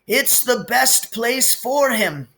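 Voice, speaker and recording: A man voicing a calm, wise old wizard in a storybook, speaking gravely and firmly. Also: very high voice, takes it slowly, voice pushed up, heavily acted. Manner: heavy, firm, serious.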